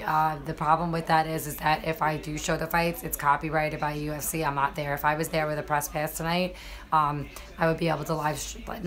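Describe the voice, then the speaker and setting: A woman with a low, slightly hoarse voice hosts a relaxed live stream from her computer. She talks straight to her viewers in a loose, chatty way, with plenty of fillers and restarts. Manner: chatty, many fillers, addresses viewers directly. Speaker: MMA journalist and live-stream host. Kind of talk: casual live-stream commentary.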